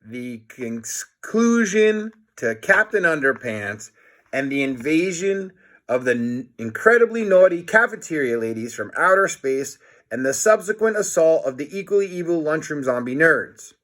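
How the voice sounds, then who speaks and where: A man's voice: a little high, big pitch swings, unhurried, a bit bright. A man reading a children's book aloud in a playful, dramatic manner, adding his own comments. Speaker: storybook reader.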